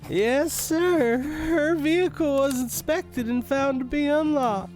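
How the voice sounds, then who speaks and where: A man's voice: very high voice, darkish tone, faint music behind. An actor playing a newly promoted male detective, earnest and a little proud. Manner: earnest, slow, a bit proud. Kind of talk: audio drama acting.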